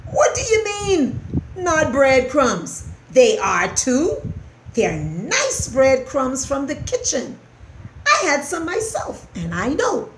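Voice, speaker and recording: A woman's voice: fairly high pitch, sing-song, takes it slowly, voice pushed up. Woman as an emphatic, indignant male mouse friend. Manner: emphatic, questioning. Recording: roomy echo, faint background noise.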